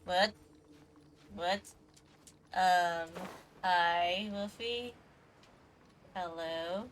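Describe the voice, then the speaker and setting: A woman's voice: lowish voice, extremely slow, fairly bright tone, slight background noise. A woman livestreamer giving casual commentary as she plays a game, with playful murmured asides. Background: game music.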